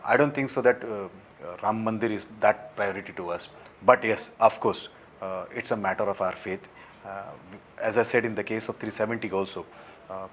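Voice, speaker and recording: A man's voice: fairly low pitch, slight background noise. A man speaking earnestly as a party spokesman on a live TV panel, with frequent hesitations.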